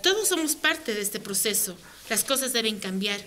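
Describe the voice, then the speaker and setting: Firm, bright-voiced woman advocate. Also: a little high, animated, normal speed, faint music behind. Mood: firm, persuasive. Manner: earnest, direct, insistent. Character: firm, outspoken women's rights advocate, adult woman. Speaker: radio drama actor. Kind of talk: radio drama acting.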